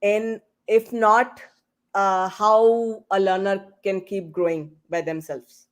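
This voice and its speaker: Woman podcast host on a video call, rambly questions, lots of you knows, stops and starts, middle pitch. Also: steady tone. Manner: halting, rambling questions with frequent "you know". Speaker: host and moderator of a panel podcast. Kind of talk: casual panel interview, unscripted questions. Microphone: online video call.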